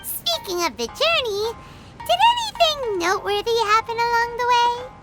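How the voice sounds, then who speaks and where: A woman voicing a small, childlike, cheerful and nosy fairy companion in a video game, with a squeaky voice. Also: sing-song, normal speed, music in the background. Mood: scheming. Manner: refers to self in third person.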